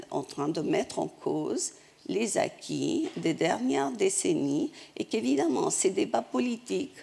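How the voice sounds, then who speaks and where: Woman interpreter, very gravelly husky voice, talks slow, stops and restarts mid phrase. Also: medium pitch, mellow. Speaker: simultaneous interpreter. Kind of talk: live conference interpretation into French.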